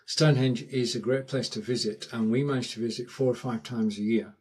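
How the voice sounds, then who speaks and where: Northern English man, Lancashire accent, mid-pitched, live-stream host, chatty, digressive, thanks viewers as he goes. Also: fairly even intonation, fairly quick, a little mellow, breathy edge. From Lancashire, England. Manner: chatty, thanks viewers by name, rambling asides. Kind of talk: casual live-stream chat. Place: home in rural England.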